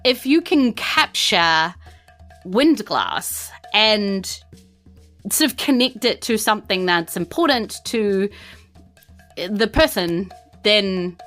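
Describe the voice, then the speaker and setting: A woman improvising narration as a game master in a casual, halting way. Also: mid-range voice, a bit bright.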